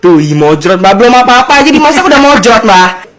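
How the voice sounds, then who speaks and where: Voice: medium pitch, very animated, brisk, a bit bright, a little hiss or hum. A speaker chatting casually with a companion while eating.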